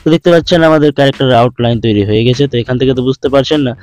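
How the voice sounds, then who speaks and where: Fast-talking man, tutorial voice-over. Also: mid-range voice, a little mellow. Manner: instructional, repetitive explaining. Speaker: tutorial host. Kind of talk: step-by-step screen tutorial voice-over. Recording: dull lo-fi voice-over, music behind. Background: background music.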